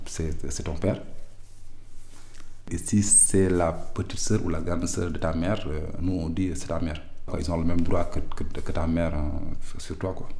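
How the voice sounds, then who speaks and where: Low, flat-voiced Senegalese man. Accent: Senegalese French. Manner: hesitant, many fillers and restarts. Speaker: interviewee. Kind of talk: personal recollection.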